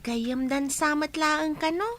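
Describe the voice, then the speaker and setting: A woman's voice: sits high, a little slow, a bit bright. A woman playing an anxious, frank young grandchild who pleads plaintively in a radio drama. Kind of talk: Filipino radio drama acting.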